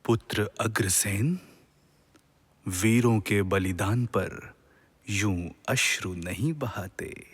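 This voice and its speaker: An actor playing a serene, wise god in a Hindi mythological audio drama, speaking solemnly in measured, formal classical diction. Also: male voice, lowish voice, breathy edge. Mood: solemn, consoling.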